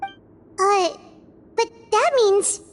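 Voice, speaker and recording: A woman's voice: extremely high pitch, extremely slow, full character voice. A woman voicing a tiny, childlike, chirpy fairy companion in an anime game. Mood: eager, puzzled.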